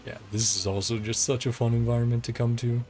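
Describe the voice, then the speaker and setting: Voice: medium pitch, level tone, fast, darkish tone. A game streamer giving casual, rambling live commentary in a chatty way, often chuckling. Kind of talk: casual livestream gameplay commentary. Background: game music.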